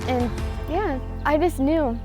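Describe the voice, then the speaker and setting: A woman's voice: high-pitched, slow, a little mellow. A young woman telling her love story casually, gushing and giggly, with plenty of "like" in her sentences. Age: young adult. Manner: giggly, gushing, liberal use of "like". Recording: music behind the voice. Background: music.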